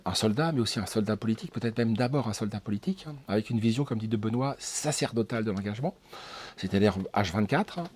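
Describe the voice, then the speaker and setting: Man, interview guest, mid-range pitch, fluent, tag words, self-correcting. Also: darkish tone. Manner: fluent, tags phrases with "hein", self-correcting. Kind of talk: casual interview talk.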